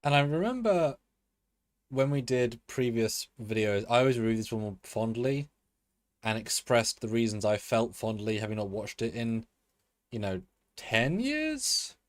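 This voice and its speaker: A man's voice: mid-range voice, very animated, unhurried, no echo. A man co-hosting a live review show, rambling in a chatty way with many fillers and restarts. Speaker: co-host. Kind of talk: live-stream review chat.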